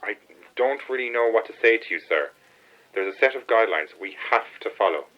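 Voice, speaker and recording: A man's voice: lowish voice, animated, a little fast, highs cut off, close to an everyday voice. A man acting a rule-bound Irish helpline official in a radio play, formal, firm and procedural. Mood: polite, unyielding.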